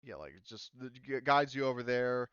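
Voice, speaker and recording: A man working as game master, narrating a tabletop roleplaying game casually and haltingly, often correcting himself and swearing. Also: mid-range voice, animated, fairly bright tone, clear, resonant tone, dry recording, lo-fi sound.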